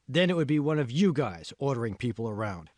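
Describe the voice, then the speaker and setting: A man's voice: mid-range voice, big pitch swings, brisk, darkish tone, dry recording, natural voice. Man acting a bossy, irritable foreman haranguing his crew. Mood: grumbling, scornful. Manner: haranguing, coarse, impatient. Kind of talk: audiobook character dialogue.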